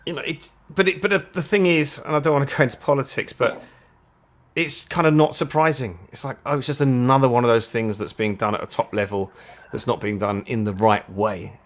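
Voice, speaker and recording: Male co-host, mid-pitched, rambling, casual live talk show, repeats himself mid-thought. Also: fairly even intonation, a little fast. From United Kingdom. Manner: rambling, repeats words while searching for a point.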